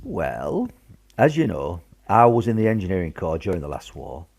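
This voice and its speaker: Lively, blunt old wartime grandfather played by a man. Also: sits low, moderate pace, creaky, exaggerated voice. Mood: reassuring. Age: older. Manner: blunt, folksy, reassuring. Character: gruff, reassuring grandfather, wartime veteran, family patriarch. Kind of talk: amateur radio drama acting.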